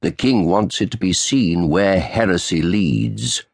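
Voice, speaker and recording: A man voicing a stern, sardonic old man past sixty with a sharp voice and a clipped manner. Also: deep voice, expressive, measured pace, gravelly, no room sound, studio quality, exaggerated voice. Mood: grave.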